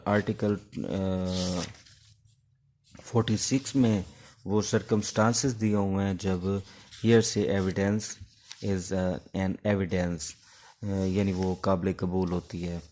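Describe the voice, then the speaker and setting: Male law lecturer, Pakistani accent: mellow, little pitch movement, instructive, pausing often between phrases. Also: level tone, poor audio. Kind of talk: informal exam-prep lecture with English legal terms.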